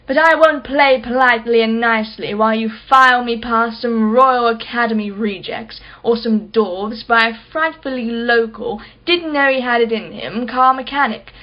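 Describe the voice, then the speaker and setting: Woman playing a haughty, high-voiced rich art collector. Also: moderate pace, hardly any pauses, highs cut off, natural voice. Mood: disdainful. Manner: articulate, imperious, sardonic. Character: haughty, sharp-tongued wealthy female art collector, sceptical. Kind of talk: radio play acting.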